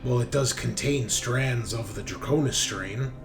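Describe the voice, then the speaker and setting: A man running a tabletop roleplaying game as game master, narrating casually, with loose swearing and excitable reactions. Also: mid-range voice, a little slow. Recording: background music under the voice. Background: music.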